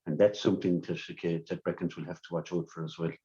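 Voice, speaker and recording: A man's voice: low-pitched, little pitch movement, dark tone. A man giving a sports preview on radio, stumbling and repeating words as he talks. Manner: halting, many repeated words and restarts. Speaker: sports analyst. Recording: dull lo-fi radio sound.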